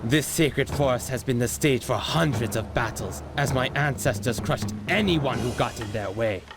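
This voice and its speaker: A man's voice: mid-range voice, moderate pace, creaky, natural voice. A man voicing an arrogant, power-hungry animal warlord in a video game, pompous, menacing and theatrical in his delivery. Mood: smug menace. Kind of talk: video game villain acting. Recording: music behind the voice.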